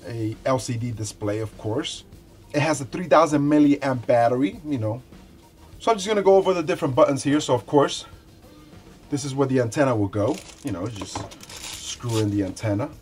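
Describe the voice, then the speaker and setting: Guy in his 40s doing a casual unboxing review, mid-pitched voice, kinda halting, faint music behind. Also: darkish tone. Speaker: product reviewer, show host. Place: small indoor room with shelves.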